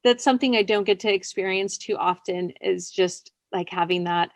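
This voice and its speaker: Female interview guest, a writer, mid-pitched, chatty and informal, dry recording. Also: moderate pace, no echo. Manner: chatty, laughs while talking. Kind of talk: casual conversational interview.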